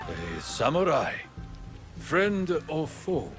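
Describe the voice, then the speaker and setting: An actor playing a grave, regretful older religious leader in a video game, speaking in a measured, solemn way. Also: male voice, a little high, very animated, a bit bright, faint music behind, exaggerated voice. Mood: wary.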